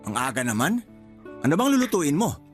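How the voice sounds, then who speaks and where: A man's voice: fairly high pitch, huge pitch swings, fairly quick, faint music behind. A man acting in a Tagalog radio drama as a freeloading older brother and father who argues defensively. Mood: casual, wheedling. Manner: wheedling, touchy, argumentative.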